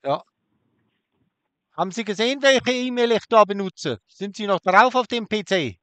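A man's voice: sits high, expressive, moderate pace, a bit bright, smooth, no echo. A man on a prank phone call, taunting and crude, sitting in his car in a forest. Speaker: scam baiter.

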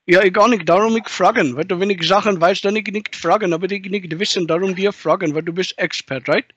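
A man's voice: sits high, steady tone, fairly bright tone, husky, dull audio. A man doing a muddled foreign caller. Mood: baffled. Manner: halting broken German, mixing in English and Spanish. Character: muddled foreign man mangling German, teasing. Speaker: prank caller.

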